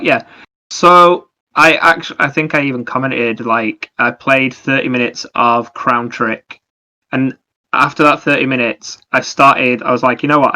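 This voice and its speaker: Bright-voiced man, even pitch, podcast chat. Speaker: podcast co-host. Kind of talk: casual video game review chat.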